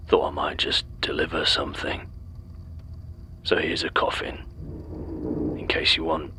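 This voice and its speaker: Very deep, halting, menacing brute. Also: male voice, pushed and bright, heavily acted. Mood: brooding. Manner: halting, terse, clipped fragments. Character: menacing, slow-witted inhuman deliveryman, male, brooding and blunt. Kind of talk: audio horror drama acting.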